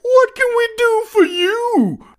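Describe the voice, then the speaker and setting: An actor playing a swaggering, mocking outlaw fish gang leader in a cartoonish western, with a raspy American drawl. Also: male voice, very high voice, sing-song, slow pace, heavily acted. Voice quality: gravelly, raspy. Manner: drawling, taunting. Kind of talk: cartoonish western audio drama.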